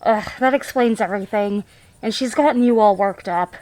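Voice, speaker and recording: A woman's voice: medium pitch, moderate pace, a little mellow, dry recording, close to an everyday voice, low-quality recording. A woman playing a haughty, irritable businesswoman with a sardonic, dismissive manner in a visual novel fan dub. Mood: exasperated.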